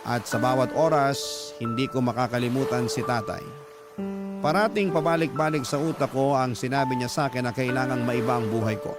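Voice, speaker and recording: A man's voice: medium pitch, a little fast, natural voice. A man acting a grieving young narrator who tells his life story earnestly and sorrowfully in a radio drama. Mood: sorrowful, reflective. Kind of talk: dramatized first-person letter narration. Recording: loud music bed under voice.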